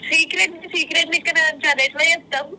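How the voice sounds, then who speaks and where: A woman's voice: high-pitched, steady tone, quick pace, noisy background. A woman with a Telangana Telugu accent chatting casually on a phone call. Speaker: guest's wife on a phone call. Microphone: phone call played in the room.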